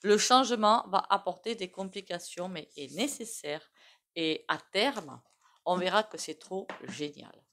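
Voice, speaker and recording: A woman giving a casual, rambling tarot reading, full of fillers and frequent throat clearing. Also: medium pitch, lively intonation, unhurried, a bit bright. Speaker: tarot reader.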